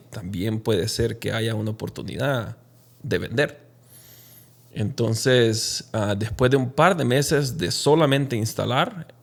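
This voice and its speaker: A man from Honduras, speaking reflectively and haltingly as an interview guest telling his own story. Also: on the low side, gravelly. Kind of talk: conversational personal storytelling in an interview.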